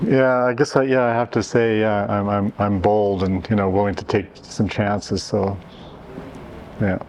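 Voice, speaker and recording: A man's voice: fairly low pitch, level tone, measured pace, a little mellow, slight background noise. Hesitant man in a casual interview. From Canada. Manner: hesitant, many restarts and fillers. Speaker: interviewee, event organizer.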